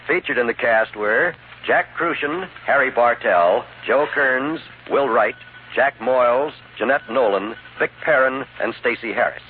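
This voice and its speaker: Male vintage radio announcer, mid-pitched, formal credit read, phone-like recording with faint noise. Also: unhurried. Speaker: announcer reading closing credits. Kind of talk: scripted 1950s radio announcing.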